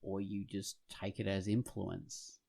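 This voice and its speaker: A man co-hosting a podcast, speaking casually in a halting, reflective way. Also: low-pitched, little pitch movement, gravelly, mellow. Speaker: podcast co-host. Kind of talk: casual conversational discussion.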